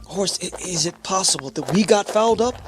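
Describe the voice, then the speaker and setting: A man's voice: high voice, expressive, a little fast. Man acting a stern spaceship captain, music underneath. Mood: disbelieving. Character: stern, skeptical spaceship captain, insistent on logic. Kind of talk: radio drama acting.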